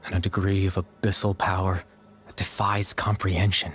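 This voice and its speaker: An actor playing a melancholy, resigned being in a video game, explaining things in a measured, formal way. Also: male voice, deep voice, little pitch movement, a bit bright. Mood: somber, resigned. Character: melancholy male being resigned to his sacrifice, calmly explanatory. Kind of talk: dramatic video game voice acting.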